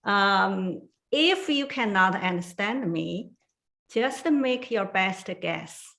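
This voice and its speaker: Woman trainer, unhurried, searching for words, middle pitch. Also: lively intonation, gravelly, a bit bright. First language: Chinese. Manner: slow, careful, with many fillers and pauses. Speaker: guest tai chi trainer. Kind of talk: online forum talk.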